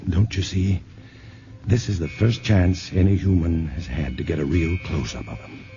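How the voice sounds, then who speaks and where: A man's voice: deep voice, fairly even intonation, normal speed. A man playing a level-headed scientist in a 1950s radio drama, speaking steadily and reassuringly. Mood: tense. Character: level-headed male scientist, adult, calm and protective. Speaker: scientist hero in a radio play. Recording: muffled old radio broadcast with faint hiss.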